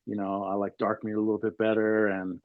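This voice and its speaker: A man in his forties from Maryland rambles through his stories with plenty of fillers. His voice sits a bit low and sounds dull and lo-fi, with a dry, close feel. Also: flat delivery, a little fast, no echo. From Maryland, USA. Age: 40s. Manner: rambling storyteller, many fillers. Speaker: podcast guest. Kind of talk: casual chat.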